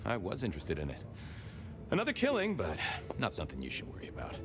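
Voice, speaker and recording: An actor playing a wry, inquisitive police detective in a video game, with a glib, sardonic way of talking and music behind him. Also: male voice, low-pitched, huge pitch swings, quick pace, noisy background. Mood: wry, probing. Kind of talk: video game dialogue acting.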